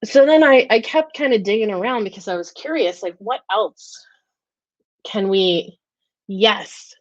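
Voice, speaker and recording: A woman's voice: medium pitch, lively intonation, a little slow, dry recording, highs cut off. An American woman hosting a casual weekly livestream chat, enthusiastic and full of fillers and restarts. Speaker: host of a weekly live talk and Q&A.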